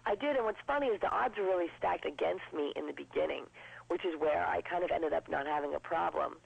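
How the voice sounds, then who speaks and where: A woman in her twenties with a low voice, chatting upbeat as a guest in an interview. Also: creaky, husky, no room sound. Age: 20s. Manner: chatty and upbeat, quick with jokes. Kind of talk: casual interview. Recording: dull lo-fi broadcast sound.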